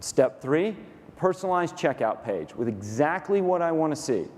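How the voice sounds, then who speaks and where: A man's voice: a little high, big pitch swings, moderate pace, darkish tone. Dry, methodical Texan presenter man. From Texas, USA. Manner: dry, matter-of-fact, methodical walkthrough. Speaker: company COO demonstrating software. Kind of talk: staged product demo presentation. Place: conference stage in a large hall. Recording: reverberant conference hall.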